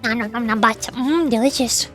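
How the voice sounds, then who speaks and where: A woman's voice: fairly high pitch, normal speed, a bit bright, faint music behind. A woman streaming a game, chatting casually in a playful, teasing manner. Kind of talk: casual game livestream chat.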